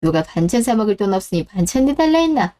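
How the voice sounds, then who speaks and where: A woman's voice: mid-range voice, sing-song, fast talker, mellow, pitched below the performer's own voice, natural voice. A woman voicing a brazen, sarcastic daughter-in-law in a confrontational scripted family drama story. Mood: scornful, irritated. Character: brazen, scornful daughter-in-law, sharp-tongued mother.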